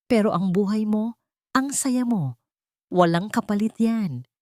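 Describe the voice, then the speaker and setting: A woman's voice: mid-range voice, measured pace, warm tone, dry recording, voice pushed up, lightly acted. Narrator lady doing a peppy bossy young woman bestie, urging and caring, audio drama, dry recording. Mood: urgent, insistent. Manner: urging, emphatic.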